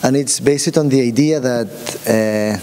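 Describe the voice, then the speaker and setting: A man's voice: medium pitch, dark tone. Hesitant man speaking in a reverberant hall. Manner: halting, many restarts and fillers, asks the room questions. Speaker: workshop speaker. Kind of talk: informal workshop talk. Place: conference room. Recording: long hall reverb, faint hiss.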